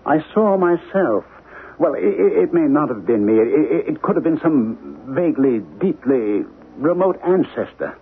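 An actor playing an obsessed, frightened husband in a 1970s radio drama, speaking earnestly and urgently with dramatic pauses. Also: male voice, on the high side, big pitch swings, breathy edge, phone-quality sound, heavily acted. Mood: haunted, dreamy. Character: obsessed, frightened husband convinced of alien invasion. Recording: noisy, band-limited 1970s radio broadcast.

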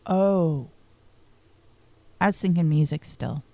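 A woman's voice: deep voice, slow, creaky, dark tone, no echo. A woman co-hosting a casual trivia chat, giving short, hesitant guesses. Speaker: co-host answering trivia.

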